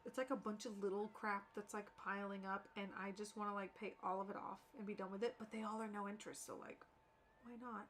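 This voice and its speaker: A woman's voice: medium pitch, fairly even intonation, brisk, fairly bright tone, slight background noise. A woman chatting casually on a live game stream in an informal, rambling way. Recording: game music behind the voice. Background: video game music.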